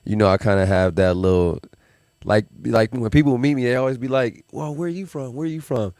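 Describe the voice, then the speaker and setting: A man's voice: medium pitch, fairly quick, a little mellow, no room sound, studio quality. A man in his thirties, a musician from Milwaukee raised in Las Vegas, talking in a laid-back, reflective way with lots of fillers in a casual podcast interview. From Milwaukee, Wisconsin; raised in Las Vegas. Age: 30s. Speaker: musician guest.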